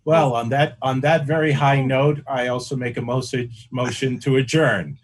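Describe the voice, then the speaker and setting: A man chairing a club meeting on a video call, talking casually and haltingly, repeating words. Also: mid-range voice, steady tone.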